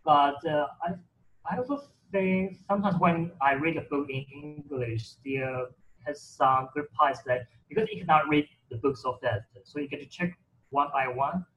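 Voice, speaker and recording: A man's voice: a little high, moderate pace, lo-fi sound, slight background noise. Hesitant man, Mandarin first language. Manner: hesitant, many restarts and fillers. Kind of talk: casual conversation about reading.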